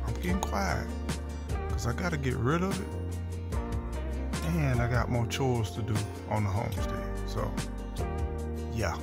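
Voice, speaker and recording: A man, casually vlogging about his homestead outdoors, filmed on a handheld camera at arm's length. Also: fairly low pitch. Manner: relaxed, conversational, drops to near whisper at times. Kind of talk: casual walking vlog. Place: outdoors on a wooded rural homestead.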